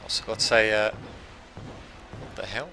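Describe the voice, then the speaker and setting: A man's voice: fairly low pitch, a little slow, gravelly, bright, forceful tone, faint music behind. A man from Serbia, raised in Australia, with a light Australian accent, giving rambling casual commentary over a strategy game and chatting to his viewers. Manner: rambling, self-correcting, chatty asides to viewers. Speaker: gameplay commentator.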